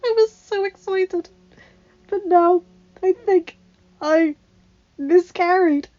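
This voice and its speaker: A woman voicing a tender, grieving young wife in an emotional, breathy, sobbing delivery for a story reading. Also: extremely high pitch, slow pace, warm tone, muffled recording, pitched above the performer's own voice. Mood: sobbing, guilty.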